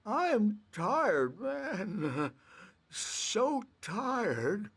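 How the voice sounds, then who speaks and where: Game casting: eccentric old rocker, very high gravelly voice, rambling, bitter and worn out. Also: male voice, very animated, extremely slow, fairly bright tone, heavily acted. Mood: weary. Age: older. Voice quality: creaky, high, cracking old-man voice. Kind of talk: video game character acting.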